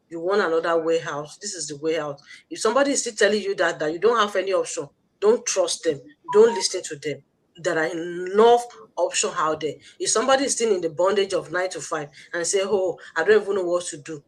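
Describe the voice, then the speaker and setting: A Nigerian woman hosting an online livestream, giving a motivational pitch with emphatic, repetitive, preacher-like exhortation. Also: breathy edge, rough recording. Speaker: host of an online business promotion livestream.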